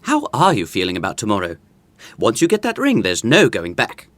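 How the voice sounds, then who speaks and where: Very animated, teasing young romantic. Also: medium pitch, a little fast, dry recording. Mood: soft, concerned. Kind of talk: modern romantic comedy audio drama acting.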